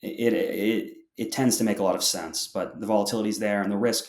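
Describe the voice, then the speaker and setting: A man's voice: fairly low pitch, steady tone, a little fast, roomy sound. A young man explaining his views as a podcast guest, often restarting his sentences as he works through a point. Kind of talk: interview answers.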